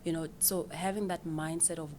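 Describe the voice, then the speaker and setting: Low-voiced woman. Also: fairly even intonation, fairly quick, a little mellow, slight background noise. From Zimbabwe. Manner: explanatory, with frequent repeated words and small laughs. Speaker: financial planner guest. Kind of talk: casual interview answers.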